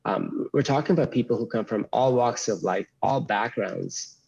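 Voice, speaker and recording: A man giving explanatory answers as an expert interview guest, in measured, formal wording, over slightly dull audio. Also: mid-range voice, creaky, darkish tone, slightly rough.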